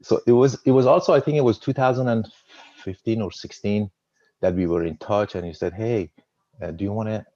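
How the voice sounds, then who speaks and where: A man in his fifties with a Persian accent, telling his personal story as a guest on a webinar, halting and repeating words with a slight stutter. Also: fairly low pitch, dark tone, no room sound, lo-fi sound. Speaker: guest trader. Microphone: video call.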